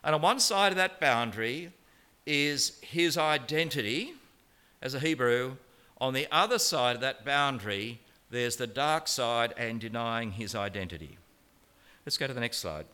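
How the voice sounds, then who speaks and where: A man's voice: medium pitch, lively intonation, moderate pace, sharp tone, clean tone. A man preaching a conversational sermon, with wry colloquial humor and rhetorical questions and repeated phrases for emphasis. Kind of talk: conversational sermon on a Bible passage.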